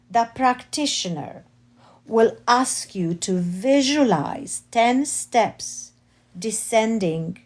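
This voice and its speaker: Slow-reading woman with a Romanian accent. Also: on the high side, a little mellow. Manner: slow, deliberate reading with occasional self-corrections. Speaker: author reading her book. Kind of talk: slow reading of a spiritual memoir.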